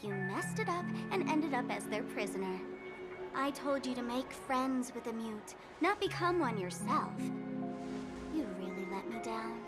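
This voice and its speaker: A woman's voice: a little high, very animated, measured pace. A woman playing a teasing, mocking young female trickster in a video game, with theatrical menace. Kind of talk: video game character dialogue. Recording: loud music behind. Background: loud game music.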